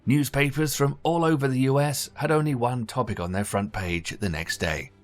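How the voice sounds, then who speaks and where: A man reading scripted documentary narration in a measured, formal way. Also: mid-range voice, faint music behind.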